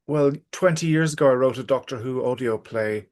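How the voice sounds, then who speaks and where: A middle-aged or older man, talking casually and reflectively as an interview guest, pausing often to think aloud. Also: medium pitch, darkish tone.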